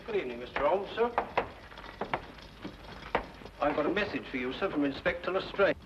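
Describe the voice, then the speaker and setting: A man's voice: fairly high pitch, expressive, racing, close to an everyday voice. An actor playing a respectful, dutiful male police sergeant reporting formally in an old-time radio drama. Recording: dull old radio recording, faint noise.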